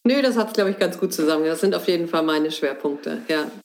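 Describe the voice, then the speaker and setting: Calm middle-aged woman recounting her story. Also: low-pitched, fairly quick. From Hamburg, Germany. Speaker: theatre director and guest. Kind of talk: casual interview. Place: her home.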